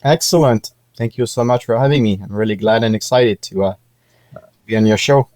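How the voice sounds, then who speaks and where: A man's voice: lowish voice, brisk, darkish tone, no echo, studio quality. A man from France, speaking as a podcast guest in a hesitant way, with many fillers and restarts. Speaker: podcast guest, business executive. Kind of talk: casual interview talk.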